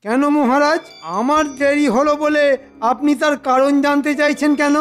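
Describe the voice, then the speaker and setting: Very high-pitched scheming minister. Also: male voice, flat delivery, normal speed, soft background music. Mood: indignant. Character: scheming, petulant, self-important male government minister. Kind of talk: Bengali cartoon voice acting.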